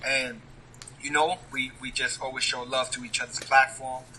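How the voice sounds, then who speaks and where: A man's voice: medium pitch, little pitch movement, moderate pace, pushed and bright, a little hiss or hum, low-quality recording. A man, a rapper talking casually in an interview, leaning on street slang and asking "you get what I'm saying?". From New York.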